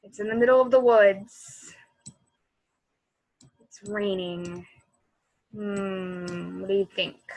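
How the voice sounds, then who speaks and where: A woman reading a storybook aloud to children in an expressive, animated way, speaking directly to her young listeners. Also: mid-range voice, slow pace, pauses often, poor audio.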